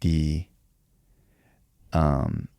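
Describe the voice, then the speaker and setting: A man who writes songs, talking in a relaxed podcast conversation, thinking aloud and reflecting at length with many filler words. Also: a bass voice, very slow, vocal fry, clear, resonant tone, no room sound, studio quality. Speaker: songwriter.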